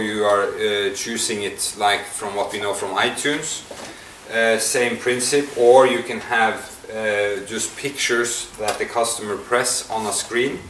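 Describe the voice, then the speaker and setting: A man's voice: mid-range voice, a bit bright. Man demonstrating in an echoey room. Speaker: product demonstrator. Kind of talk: unscripted product demonstration. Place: indoor room at a table. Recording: echoey room, distant mic, faint noise.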